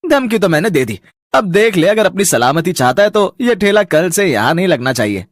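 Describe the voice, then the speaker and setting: A man acts out a greedy rival shop owner for a cartoon. His voice rides high for a man, with no room echo at all. He bullies and gloats as he talks, throwing threats around. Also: big pitch swings, a little fast, studio quality. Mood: threatening. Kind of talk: Hindi cartoon story dubbing.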